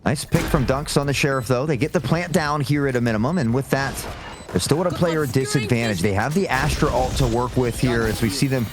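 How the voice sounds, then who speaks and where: A man's voice: mid-range voice, a little fast. A man giving live analytical commentary on an esports match in a conversational, explanatory way.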